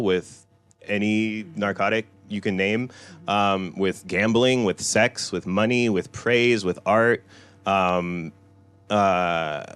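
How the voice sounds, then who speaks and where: A man in his thirties with a very low voice talks candidly at a bookstore event. He rambles loosely, filling his sentences with "right?" and "you know". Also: a bit bright, clear, resonant tone. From Iran. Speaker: author at a book talk. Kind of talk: candid conversational talk.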